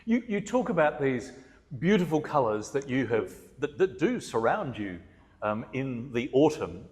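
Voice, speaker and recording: Loud, projecting man hosting a talk. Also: medium pitch, sing-song, a little slow. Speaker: host interviewing an artist. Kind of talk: gallery talk, casual conversation. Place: art gallery, large room. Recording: long reverb in a gallery hall.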